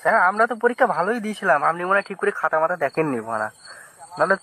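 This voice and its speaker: Man voicing an insolent rapid-fire cartoon schoolboy. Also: sits high, big pitch swings, sharp tone, slightly rough, exaggerated voice. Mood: defensive. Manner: cheeky, crude. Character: insolent foul-mouthed schoolboy talking back to teacher. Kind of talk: comic cartoon parody dubbing.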